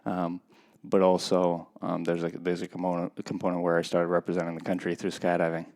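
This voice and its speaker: A man's voice: sits low, completely flat delivery, a little mellow. A man in his thirties from Russia, a podcast guest speaking reflectively and unhurriedly in a casual interview. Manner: reflective, unhurried, hesitant with many pauses. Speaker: podcast guest, skydiving competitor.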